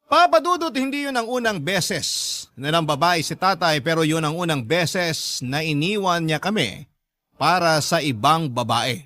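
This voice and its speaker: A man's voice: fairly high pitch, animated, measured pace, sharp tone, dry recording, clean studio sound. A man with a Filipino accent narrating a scripted, dramatic first-person story as a radio drama host.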